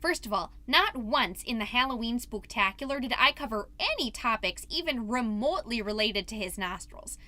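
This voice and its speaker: A woman's voice: a little high, lively intonation, normal speed, few pauses, bright, forceful tone. A woman playing a chatty, wholesome suburban mom podcaster, doting and easily exasperated, in a scripted comedic monologue. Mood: indignant. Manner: chatty, dramatic, folksy minced oaths.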